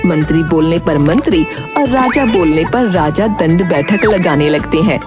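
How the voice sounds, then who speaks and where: A woman's voice: fairly low pitch, animated, moderate pace. A woman narrating a children's cartoon story from a script. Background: music.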